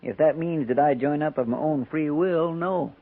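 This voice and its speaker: Insolent frontier jailbird in uniform: surly, creaky, rustic, tinny old radio sound. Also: male voice, fairly high pitch, moderate pace. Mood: sullen. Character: surly jailbird army recruit, small and sullen. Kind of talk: 1950s radio western acting. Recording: tinny 1950s radio broadcast.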